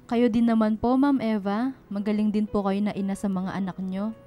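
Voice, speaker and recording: A woman's voice: medium pitch, fairly even intonation, normal speed, mellow, faint music behind, lightly acted. A woman playing an honest, hardworking laundrywoman in a radio drama, earnest and polite. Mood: humble, grateful. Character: honest, hardworking, humble laundrywoman and single mother. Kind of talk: Filipino radio drama acting.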